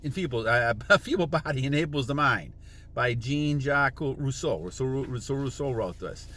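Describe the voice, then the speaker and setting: A man's voice: mid-range voice, animated, moderate pace, sharp tone. A man in his sixties hosting a casual self-help talk, rambling and repeating himself, reading passages from a book and humming chants to demonstrate. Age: 60s. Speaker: channel host.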